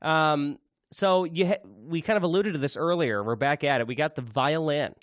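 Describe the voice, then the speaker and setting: A man's voice: moderate pace, fairly bright tone, no echo, studio quality. A young man co-hosting a podcast in casual chat, playful, sometimes imitating instrument sounds. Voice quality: can go very low. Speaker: podcast co-host.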